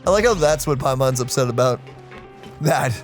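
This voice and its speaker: A man hosting a let's play with casual, expressive, reactive commentary. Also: mid-range voice, moderate pace. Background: faint game music.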